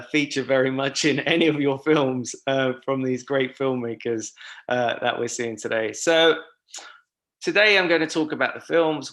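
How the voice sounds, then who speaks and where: A man's voice: medium pitch, a bit bright. A man hosting a film festival panel over a video call, speaking in a friendly way with frequent fillers.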